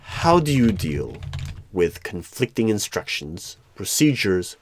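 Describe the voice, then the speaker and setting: A man's voice: deep voice, sing-song, takes it slowly. A man playing a hiring manager in a one-sided interview roleplay, asking probing, formal questions. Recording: noisy room with keyboard clicks. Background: computer keyboard typing.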